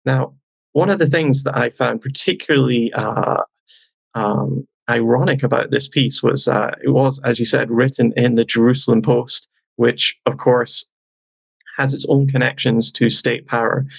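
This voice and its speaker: A man as a guest journalist, answering in a measured, sardonic way. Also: mid-range voice, fairly even intonation, vocal fry, slightly rough, no echo.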